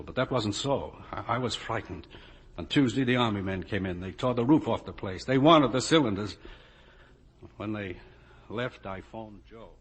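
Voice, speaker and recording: Casting: troubled older partner, anxious and halting, low-set raspy voice, vintage radio drama. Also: male voice. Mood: confessing. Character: anxious, guilt-ridden older business partner, now a prisoner. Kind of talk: 1950 radio drama acting.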